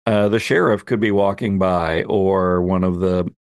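A man's voice: low-pitched, fairly even intonation, a little slow, no room sound, studio quality. A man hosting a tabletop roleplaying game as game master, narrating casually in an easygoing, chatty way.

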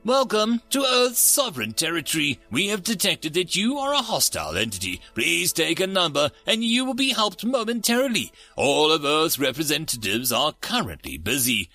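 Man imitating a high, courteous automated hold message. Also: big pitch swings, moderate pace, hardly any pauses, pushed and bright, faint music behind, full character voice. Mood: bland courtesy. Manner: scripted, customer-service cadence. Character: polite automated hold-message system, calm, impersonal, relentlessly courteous.

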